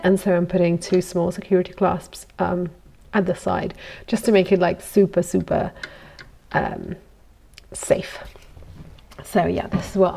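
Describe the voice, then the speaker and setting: Chatty goldsmith woman with gravelly undertone. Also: fairly low pitch, dark tone. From Italy. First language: Italian. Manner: chatty, self-deprecating, with frequent ums and small laughs. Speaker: goldsmith streaming from her workshop. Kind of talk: casual live-stream chat while working.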